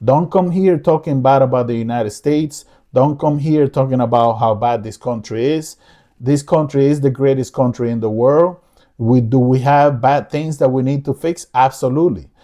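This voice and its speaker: A man's voice: mid-range voice, warm tone. A middle-aged man from the Dominican Republic giving his opinion in a casual vlog monologue, earnest and repetitive, often restarting his sentences. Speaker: vlogger.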